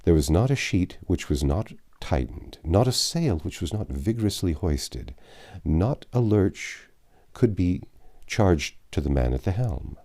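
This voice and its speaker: Male audiobook narrator, low-pitched, very varied intonation, classic adventure reading, measured dramatic phrasing. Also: sing-song, dark tone.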